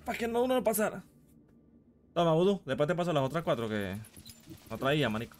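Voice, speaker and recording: A man's voice: a little high, sing-song, quick pace. A Venezuelan man talking casually and in slang with fellow players in an online game. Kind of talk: casual gaming voice chat with slang.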